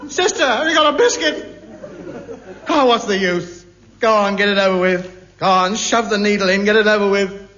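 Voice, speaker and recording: A man's voice: sits high, moderate pace, long pauses, bright, forceful tone, some chatter behind. A man playing a hungry, self-pitying pompous man in a 1950s radio comedy, with indignant theatrical delivery. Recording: noisy dull-toned vintage radio recording.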